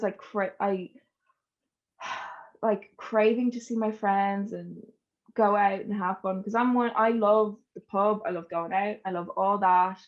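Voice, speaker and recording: A woman's voice: medium pitch, highs cut off. A young adult woman with an Irish accent, chatting casually and informally as a podcast guest telling personal stories. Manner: chatty, informal, laughs easily.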